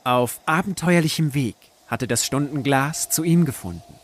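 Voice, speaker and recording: A man's voice: mid-range voice, expressive, moderate pace. Man narrating literary fiction, noisy recording. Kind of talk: scripted literary audio-drama narration.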